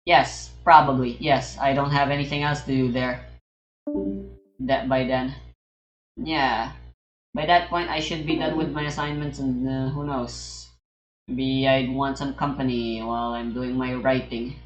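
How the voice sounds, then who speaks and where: Low-voiced woman streamer, casually profane. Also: steady tone, measured pace, lo-fi sound. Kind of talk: casual chat while gaming. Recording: roomy sound with game music behind.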